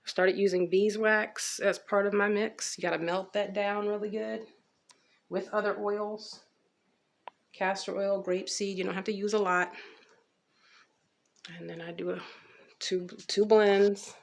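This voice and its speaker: Casual American woman with a creaky voice. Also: medium pitch, little pitch movement, measured pace, pauses often. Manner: informal, rambling, repeats herself. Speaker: natural hair care vlogger. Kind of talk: casual chatty hair update.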